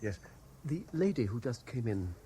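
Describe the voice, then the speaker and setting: A man playing an earnest, troubled lawyer in a radio play, speaking in a measured, careful way. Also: medium pitch, very animated, warm tone, a little hiss or hum, close to an everyday voice. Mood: polite, probing. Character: earnest, troubled male lawyer narrating his surveillance case. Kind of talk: radio play acting with diary-like narration. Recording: 1970s radio drama recording.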